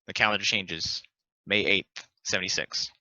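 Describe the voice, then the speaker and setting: Male stage-directions reader; low but sharply forward voice, scripted narration, dry and slightly dull recording. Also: fairly even intonation, moderate pace, bright, forceful tone, dry recording. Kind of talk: scripted narration in a play table read.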